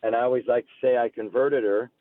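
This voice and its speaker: A man's voice: medium pitch, steady tone, fast, no room sound. Male guest, forties, American, casual personal storyteller on a dry, narrow-band radio call-in. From United States, Alabama-born, grew up near Seattle. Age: 40s. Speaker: guest, political candidate. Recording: muffled lo-fi call-in radio line.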